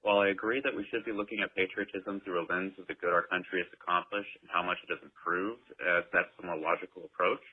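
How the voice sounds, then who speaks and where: A man's voice: sits low, steady tone, quick pace, hardly any pauses, slightly rough. A man from Texas leaving a formal, prepared statement as a voicemail. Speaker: voicemail caller. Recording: tinny phone voicemail.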